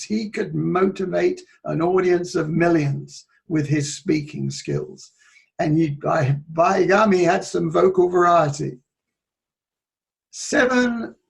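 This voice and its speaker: A man giving a motivational talk on public speaking, moving between loud and soft delivery as he tells stories. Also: high voice, vocal fry. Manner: shifts between loud and soft, playful with his voice.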